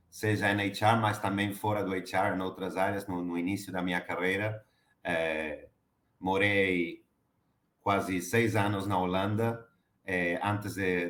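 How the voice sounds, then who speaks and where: A man's voice: deep voice, a bit bright, echoey room. A middle-aged man from Argentina with an Argentine Spanish accent, answering interview questions with many fillers and restarts. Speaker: guest, HR director.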